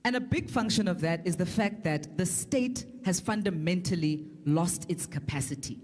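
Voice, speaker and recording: A woman's voice: lowish voice, darkish tone. Emphatic, articulate South African woman. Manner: articulate, emphatic, deliberate phrasing with repetition. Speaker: political panelist. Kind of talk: prepared political argument at a public forum.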